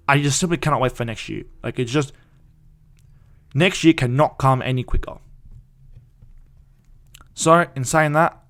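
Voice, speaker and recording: A young man, hosting a casual solo fan podcast in an excited, rambling way with frequent restarts. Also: medium pitch.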